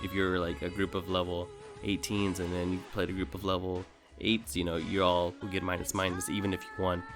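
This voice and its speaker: Low-voiced man, casual gaming commentary over music. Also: level tone, fairly quick. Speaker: gaming video host. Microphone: voice-over recorded over gameplay. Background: music under the voice.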